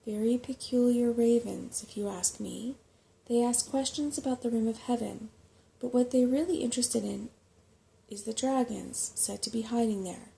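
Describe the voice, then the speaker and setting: A woman voicing a self-important, grasping little male rat mapmaker in a soft-spoken storybook reading, with a fussy, portentous manner. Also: fairly high pitch, moderate pace, creaky, a little hiss or hum, low-quality recording. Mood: conspiratorial, offended. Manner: portentous, fussy, easily affronted.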